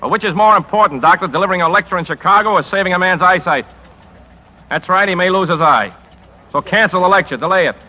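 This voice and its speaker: A hard-driving newspaper boss from a 1950s radio play. His voice is very bright and forceful, a little high, and he fires out clipped orders at a fast pace. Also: rough recording. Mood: urgent, persuasive. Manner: clipped, commanding, urgent. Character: hard-driving, brusque male newspaper editor. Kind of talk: 1950s radio drama acting. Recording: vintage 1950s radio broadcast recording.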